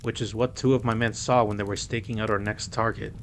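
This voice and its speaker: A man's voice: on the low side, little pitch movement, brisk, natural voice. Man acting a suave mob boss, faint noise. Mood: serious, measured. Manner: teasing, smooth, code-switches into Spanish. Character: suave, playful male mafia boss. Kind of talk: audio roleplay drama acting.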